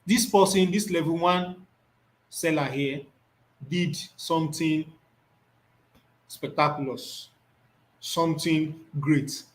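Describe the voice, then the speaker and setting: A man's voice: on the high side, poor audio. Man teaching a screen tutorial. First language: Yoruba. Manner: thinks aloud, repeats words while reading off the screen. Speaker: instructor.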